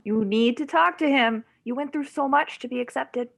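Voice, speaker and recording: Creaky, high-voiced protective mother, acted by a woman. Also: normal speed. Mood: worried. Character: protective, worried mother of a teenage girl. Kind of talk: screenplay table read.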